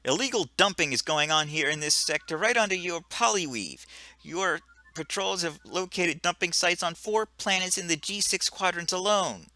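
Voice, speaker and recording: A man voicing a high-pitched, indignant female alien ambassador in a comic game dub, insistent and haughty. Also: expressive, moderate pace, bright, forceful tone, full character voice. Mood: scolding.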